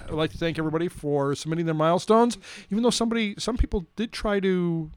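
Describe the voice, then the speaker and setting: A man's voice: high-pitched, steady tone, fast talker, darkish tone, clear, resonant tone. A man co-hosting a podcast, reading listener milestones in a dry, agreeable way. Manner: dry, agreeable, lots of "that's right". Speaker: podcast co-host.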